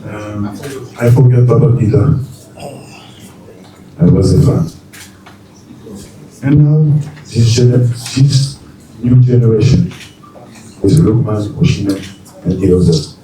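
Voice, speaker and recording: A middle-aged man with a French accent speaks slowly in halting English, in short declarative phrases. His voice is dark and mellow. The recording is echoey and taken from far off in a press hall. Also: on the low side, slight background noise. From France and Mali. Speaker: new football coach. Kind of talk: formal speech at a press unveiling. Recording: echoey room, far from the mic.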